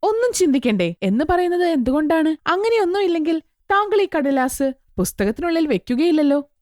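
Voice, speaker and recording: A woman's voice: high voice, sing-song, brisk, a little mellow, no room sound, studio quality, pitched above the performer's own voice. A woman voicing outspoken, questioning young daughters of a goldsmith in a children's story. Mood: curious, insistent. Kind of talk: children's story character acting.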